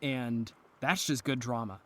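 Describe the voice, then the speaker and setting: A man with a mid-pitched voice speaks slowly and deliberately. He sounds earnest and eloquent, laying out thoughtful literary ideas as a guest in a conversation. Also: steady tone, sharp tone. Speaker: podcast guest, audio drama maker.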